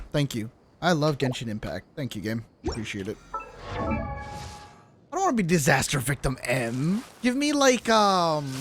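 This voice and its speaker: Guy streaming a game, super animated, slangy teasing chat, bit of background noise and clicks. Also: fairly high pitch. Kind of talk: casual chat with viewers during gameplay. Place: live stream at a gaming setup.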